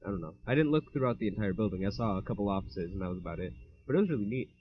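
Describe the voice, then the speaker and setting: Easygoing teen male co-host, lowish voice, lo-fi sound. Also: animated, rapid-fire. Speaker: podcast co-host. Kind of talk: casual chat. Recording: dull lo-fi mic.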